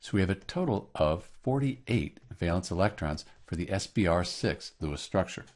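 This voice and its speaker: A man narrating a scripted tutorial as a teacher, explaining clearly step by step. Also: low voice, expressive, moderate pace, darkish tone. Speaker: chemistry teacher, tutorial host.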